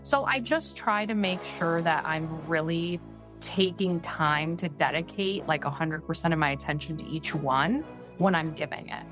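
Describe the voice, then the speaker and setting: A woman's voice: deep voice, moderate pace, over music. A woman from Peru, whose first language is Spanish, chatting as a podcast guest with many "like" fillers. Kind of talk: casual interview chat.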